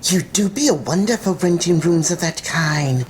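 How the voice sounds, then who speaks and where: A woman's voice: on the low side, animated, moderate pace, darkish tone, slight background noise, close to an everyday voice. A woman voicing gossipy, deferential housekeepers with a folksy manner in a dramatized story reading. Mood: gossipy, conspiratorial. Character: Irish landlady housekeepers, gossiping, businesslike, flattering older women.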